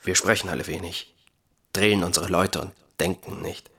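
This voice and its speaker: An actor playing a bitter young army lieutenant in a radio drama, intense and clipped, his rough voice often sinking toward a whisper. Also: low voice, fairly even intonation, moderate pace, sharp tone, exaggerated voice. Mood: grimly resolute. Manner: clipped, intense military speech. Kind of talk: German radio drama acting. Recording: music behind the voice.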